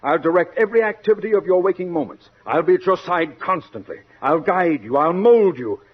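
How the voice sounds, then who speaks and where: A grandiose show-business gentleman in a vintage radio comedy. His voice is very high for a man and swoops widely, husky and florid, on a slightly muffled broadcast recording. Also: expressive, brisk. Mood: effusive, domineering. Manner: florid, theatrical, declaiming. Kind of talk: old-time radio comedy acting.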